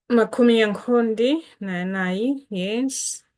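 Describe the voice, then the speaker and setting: A woman from Malawi whose first language is Chitumbuka, patiently teaching it in a casual lesson to camera. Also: fairly high pitch. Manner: unhurried, patient, repeats and spells out words. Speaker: language teacher and channel host.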